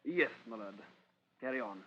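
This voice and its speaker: An actor playing a breezy, teasing upper-class British older brother in a 1950s radio drama, with a jaunty, clipped manner. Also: male voice, medium pitch, lively intonation, normal speed, close to an everyday voice. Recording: muffled vintage radio recording.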